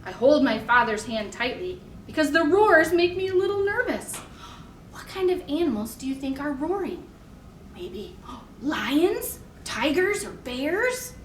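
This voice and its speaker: A woman leading a toddler storytime in simple short phrases, with an animated, sing-song, encouraging manner. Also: sits high, measured pace, a little hiss or hum. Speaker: children's librarian leading storytime. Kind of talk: toddler storytime, singing-along instruction and read-aloud. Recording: echoey room.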